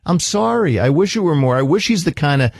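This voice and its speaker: A man's voice: on the high side, animated, fairly quick, gravelly, slightly rough, no echo. Insistent male radio therapist, close dry sound. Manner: insistent, interrupting, explanatory. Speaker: psychotherapist hosting a radio counselling segment. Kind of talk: probing therapy talk on radio.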